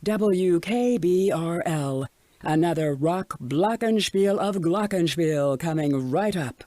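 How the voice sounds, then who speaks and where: A man's voice: a little high, slow pace, mellow, no room sound. A man playing a laid-back radio station DJ, reading a scripted link for a game.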